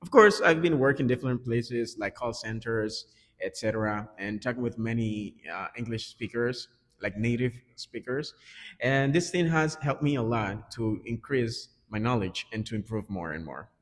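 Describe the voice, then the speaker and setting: A man's voice: mid-range voice, lively intonation, moderate pace. A man with a Dominican Spanish accent, a native Spanish speaker, giving earnest conversational interview answers as an English teacher. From Dominican Republic. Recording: echoey reverberant room.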